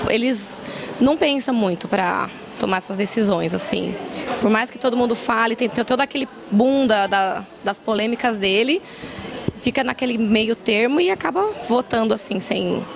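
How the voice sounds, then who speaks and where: A woman's voice: fairly high pitch, expressive, moderate pace, creaky, crowd noise. A Brazilian woman answering a street interview casually, musing as she talks. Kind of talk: vox pop street interview.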